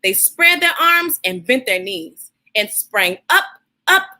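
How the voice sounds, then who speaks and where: A woman hosting a children's read-aloud, speaking in an animated, encouraging way straight to her young listeners. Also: a little high, takes it slowly.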